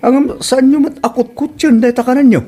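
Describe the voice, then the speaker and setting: A man's voice: very high-pitched, fairly even intonation, fairly quick, warm tone, soft background music. A man playing an exasperated grown son in a family comedy drama, questioning others in an Ilocano accent. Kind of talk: radio-style comedy drama acting.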